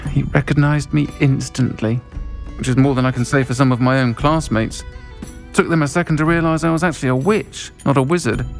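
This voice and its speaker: Male-sounding actor as middle-aged witch innkeeper, creaky, conversational, frank, faint music behind, game dialogue. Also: medium pitch, lightly acted. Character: friendly, frank witch tavern keeper, a woman.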